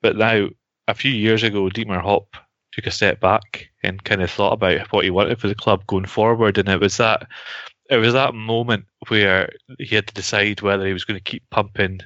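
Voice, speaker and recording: Bloke explaining stuff on a podcast, deep flat voice, dry muffled mic. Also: male voice, moderate pace, no room sound. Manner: flat, even explaining with repeated restarts. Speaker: podcast guest analyst. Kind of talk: casual podcast analysis. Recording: dull lo-fi sound.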